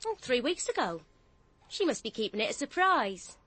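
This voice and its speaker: An actress playing a nervous, gullible English office clerk with a flustered, colloquial manner in a radio drama. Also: female voice, on the high side, very animated, normal speed, heavy background noise. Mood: chatty.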